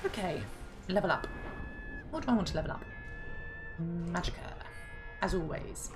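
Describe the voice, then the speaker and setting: Woman, let's-play host, slightly hoarse, chatty, lower pitch, game music behind. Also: expressive, normal speed. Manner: chatty, thinking aloud. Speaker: gaming channel host. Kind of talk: casual let's-play commentary.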